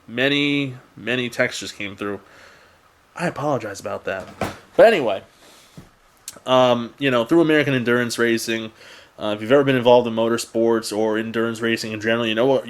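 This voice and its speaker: A young man with an average-pitched voice talks to his viewers as the host of a car channel. His delivery is casual and unscripted. He rambles a little in a conversational way, as in an everyday vlog.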